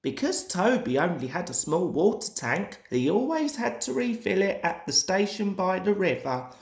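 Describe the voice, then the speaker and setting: A man's voice: sits high, sing-song, moderate pace, creaky. A young man reading a children's story aloud as a storyteller, in scripted narration. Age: young adult. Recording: echoey room.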